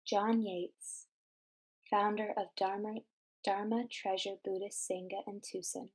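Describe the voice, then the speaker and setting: Female meditation guide, mid-range pitch, measured scripted delivery with deliberate, instructional phrasing. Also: slightly rough.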